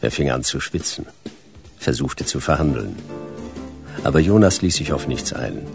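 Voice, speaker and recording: Very deep, gravelly hard-boiled detective. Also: male voice, expressive, moderate pace, over music, heavily acted. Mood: dry narrating. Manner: terse, stern, dry. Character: hard-boiled, relentless male private detective of the future. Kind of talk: radio drama acting and noir narration.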